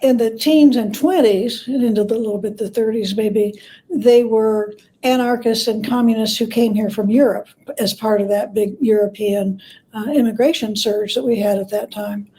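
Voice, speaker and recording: A woman speaking as a guest scholar in an interview, explaining things conversationally in halting, thoughtful phrases with self-corrections. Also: on the high side, warm tone, poor audio.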